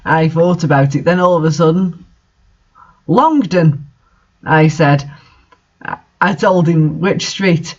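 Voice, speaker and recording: A man with a high voice reads amateur fiction aloud in a mocking way. He breaks off for incredulous, exasperated commentary. The recording is dull and lo-fi. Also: steady tone, unhurried. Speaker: fan fiction reader and commentator. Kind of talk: mocking dramatic reading with commentary.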